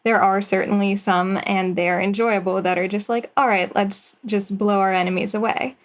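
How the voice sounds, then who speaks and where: A young woman in her twenties from Pennsylvania, a writer answering interview questions in a measured, thoughtful way with a few hesitations. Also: mid-range voice, steady tone, muffled recording. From Pennsylvania, USA. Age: 20s. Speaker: writer and guest.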